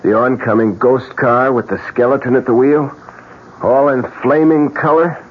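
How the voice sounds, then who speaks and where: An actor playing an eccentric, sardonic painter with a theatrical, mock-courteous manner in a radio drama. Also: male voice, mid-range voice, steady tone, normal speed, close to an everyday voice. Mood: gleefully morbid. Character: eccentric, sardonic male painter, cheerfully morbid. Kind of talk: old-time radio drama acting. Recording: muffled, faintly hissy old radio recording.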